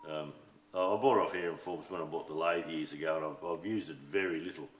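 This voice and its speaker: A middle-aged Australian man talks in a deep, low register, his voice a little hoarse. He rambles through shed chat with lots of ums and false starts. The sound carries an echo from a roomy workshop. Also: fast, a little hiss or hum. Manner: rambling, full of ums and restarts. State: a bit hoarse. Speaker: workshop channel host. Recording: echoey shed room.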